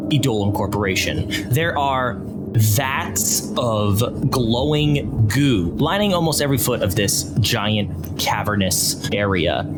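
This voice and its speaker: A male game master narrates scenes slowly and deliberately. His pitch is medium. Loud music runs under the narration.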